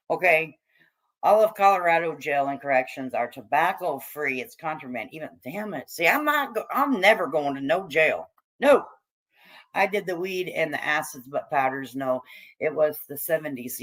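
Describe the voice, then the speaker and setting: Woman, middle-aged, American accent, slightly low pitch, casual livestream host, salty and irreverent, slangy. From United States, raised among hippies. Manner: salty, slangy, irreverent, addresses viewers as baby and sweetheart. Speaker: livestream host reading and answering chat. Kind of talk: casual livestream chat, joking commentary.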